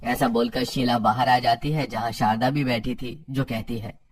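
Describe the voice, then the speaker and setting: A man's voice: mid-range voice, steady tone, moderate pace, recorded from a distance, faint music behind. A man reading scripted story narration as the narrator.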